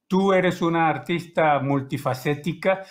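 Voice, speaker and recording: An older man interviewing a guest over a video call, asking his questions in a measured way with some hesitation, from a room with bookshelves. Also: on the high side. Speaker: radio interviewer.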